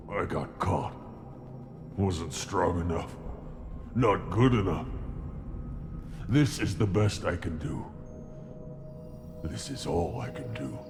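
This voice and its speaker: A man voicing a wounded, brainwashed alien brute scout in a video game, earnest and unsure of himself, speaking in halting, labored phrases. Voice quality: deep, gravelly. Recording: music behind the voice. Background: music.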